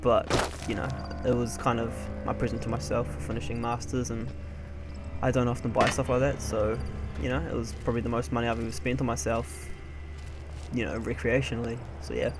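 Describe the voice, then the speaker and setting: A young man chatting casually over gameplay in an unhurried, hesitant, low-key way. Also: little pitch movement. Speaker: let's play host. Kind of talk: casual gameplay commentary, rambling personal chat. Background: video game music.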